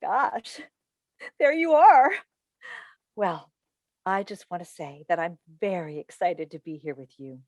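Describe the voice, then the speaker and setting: A woman's voice: fairly low pitch, very animated, slow, long pauses, darkish tone, no room sound. A woman playing a driven, needling mother and broadcast advice host in a staged play reading over a video call. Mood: gracious, showy. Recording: dry video call recording.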